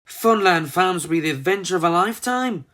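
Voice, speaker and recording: Brief: narrator plays an adventurous young hen, cheeky, chummy, excited, lively pitch, storybook. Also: a little fast, natural voice.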